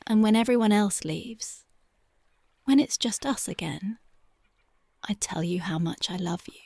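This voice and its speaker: A woman voicing a tender, dreamy young punk girlfriend in an ASMR roleplay, speaking softly and often slipping into whispers. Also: mid-range voice, unhurried, creaky, dry recording. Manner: soft, often drifting into whispers. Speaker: ASMR voice actress.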